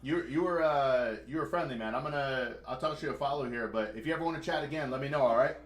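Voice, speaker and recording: A man's voice: medium pitch, fast talker. A man hosting a casual livestream, chatting like a buddy and answering money questions. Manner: easygoing, talks like a buddy. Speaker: livestream host, financial advisor. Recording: echoey room.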